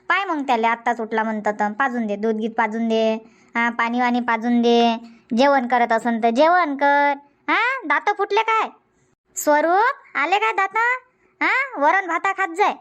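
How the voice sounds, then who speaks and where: Busy, folksy village auntie for cartoon comedy, played by a woman: high-pitched, talkative, repetitive, Varhadi Marathi accent. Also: measured pace, a bit bright. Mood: chatty, bustling. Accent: Varhadi Marathi from Vidarbha.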